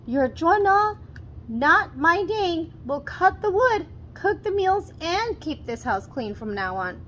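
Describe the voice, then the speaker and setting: A woman's voice: very high-pitched, big pitch swings, slow, fairly bright tone, lo-fi sound, a little hiss or hum, pitched above the performer's own voice, heavily acted. A woman voicing a scheming, jealous stepmother in a storybook, complaining and demanding with melodrama. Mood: angry, commanding.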